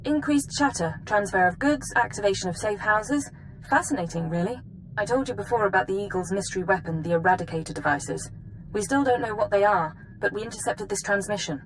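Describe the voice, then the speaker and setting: An actress playing a brisk, analytical intelligence officer in a game cutscene, precise and businesslike. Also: female voice, medium pitch, a little hiss or hum, natural voice, low-quality recording. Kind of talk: video game cutscene acting.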